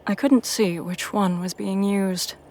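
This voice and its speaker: A woman reading a first-person story as a dramatic narrator, with intense pauses and hushed, breathy phrases. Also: mid-range voice, steady tone. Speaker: narrator of a fan fiction audio story.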